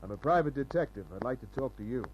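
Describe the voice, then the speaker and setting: A man's voice: medium pitch, fast talker, natural voice. A man playing a cynical, laconic private detective in a 1950s-style radio crime drama. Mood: cool, wry. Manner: laconic, deadpan, wisecracking. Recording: dull, hissy old radio recording with hum.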